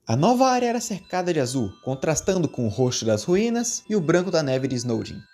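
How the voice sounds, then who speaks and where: Mellow storytelling narrator with lively intonation. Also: mid-range voice, brisk. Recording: music behind the voice.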